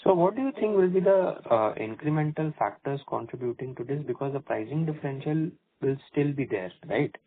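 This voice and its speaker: A man with an Indian accent asking polite, halting questions as a fund analyst on an earnings call. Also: mid-range voice, animated. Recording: narrow phone line.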